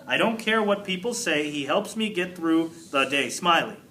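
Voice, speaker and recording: A man's voice: a little high, expressive, sharp tone. A man reading a storybook as a shy young schoolgirl who recites her poem in a rush. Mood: nervous, earnest. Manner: rushed recital, sentences run together.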